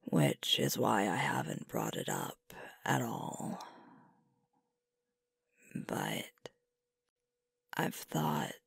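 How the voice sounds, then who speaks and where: A woman playing a sweet, sleepy alpha werewolf girlfriend in an intimate ASMR roleplay, speaking in a gentle, husky, unhurried murmur close to the microphone. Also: rumbling low, no room sound, exaggerated voice. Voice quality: breathy, husky with creaky fry. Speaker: ASMR performer. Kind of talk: ASMR roleplay, intimate whispered and soft-spoken. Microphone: close mic, dry.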